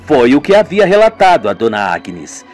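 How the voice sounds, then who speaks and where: A man's voice: medium pitch, huge pitch swings, moderate pace, a little mellow, soft background music. A man narrating a radio soap in a storytelling way, speaking straight to his listeners.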